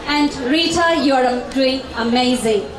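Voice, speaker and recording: A woman's voice: high-pitched, unhurried. A woman who hosts a community TV show, giving a formal speech to an event audience. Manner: formal, addresses ladies and gentlemen. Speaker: TV show host and event sponsor representative. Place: large hall at a fashion show. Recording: echoey hall from far off with crowd.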